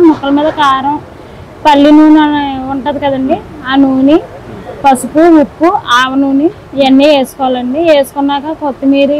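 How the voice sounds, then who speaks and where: High-voiced woman explaining a recipe. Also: a little mellow, a little hiss or hum, low-quality recording. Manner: step-by-step, instructive. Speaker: fish seller and cook. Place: outdoor riverside fish market.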